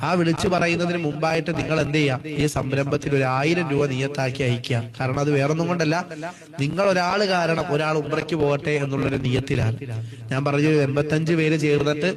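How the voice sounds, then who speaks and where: A man's voice: fairly high pitch, steady tone, a little fast, a crowd in the background. A man making an informal religious appeal to his online followers.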